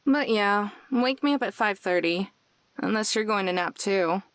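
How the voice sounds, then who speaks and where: A woman's voice: medium pitch, moderate pace, clear, resonant tone, no echo, natural voice. Woman voicing a dry, laid-back young woman. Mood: casual, unbothered. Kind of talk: visual novel voice acting.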